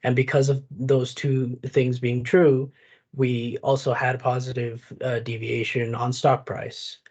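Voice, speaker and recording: A man's voice: mid-range voice, level tone, a little slow, a little mellow, breathy edge. A man giving a prepared business presentation as one of the presenters. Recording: dull lo-fi audio.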